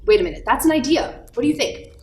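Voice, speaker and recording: A woman's voice: high voice, animated, fast talker, darkish tone, slight background noise. A woman playing an earnest, childlike second-class guardian angel, fussy and chatty, in an amateur radio play. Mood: insistent. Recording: roomy echo with music behind.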